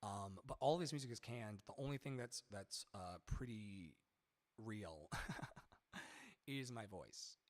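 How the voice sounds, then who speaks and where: A man with a boomy baritone-tenor voice teaching singing on a live stream, rambling and chatty, swearing casually between sung examples. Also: expressive, takes it slowly. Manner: rambling, chatty, profane, sings snatches mid-talk. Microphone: studio mic with added reverb. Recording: reverb-drenched streaming mic.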